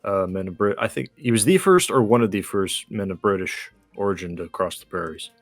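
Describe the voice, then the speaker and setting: A man chatting casually as a game co-host in a measured, explanatory way. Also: lowish voice, no room sound, faint music behind. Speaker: gaming co-host. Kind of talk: casual chat during a game.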